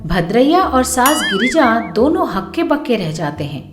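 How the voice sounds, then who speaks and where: A woman's voice: fairly high pitch, sing-song, normal speed, roomy sound, over music. A woman storyteller reading scripted narration for a moral tale.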